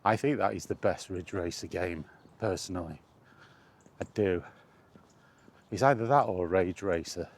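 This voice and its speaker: A man's voice: low-pitched, measured pace, pauses often. A middle-aged man giving a casual, rambling, reflective monologue as a gaming vlogger, often repeating phrases for emphasis. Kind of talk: casual rambling monologue about video games. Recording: clear background ambient noise.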